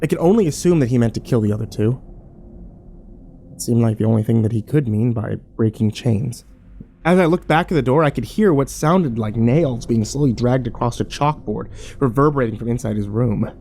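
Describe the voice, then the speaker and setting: A man's voice: mid-range voice, brisk, dark tone, a little hiss or hum, close to an everyday voice. A man playing a troubled, wary survivor who narrates his ordeal in a brooding first-person horror drama. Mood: uneasy.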